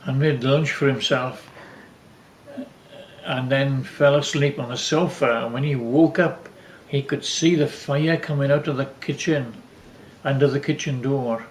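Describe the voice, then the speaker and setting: A man's voice: mid-range voice, fairly even intonation, slight background noise. Older man reminiscing in an echoey room. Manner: rambling, halting with repeated false starts. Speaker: father telling family stories. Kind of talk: casual reminiscence to family.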